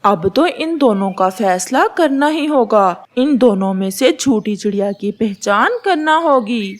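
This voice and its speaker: Woman acting stern bird judge, cartoon, high pitch, very lively intonation, slow, authoritative. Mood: firm, deliberating. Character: stern forest bird judging a dispute.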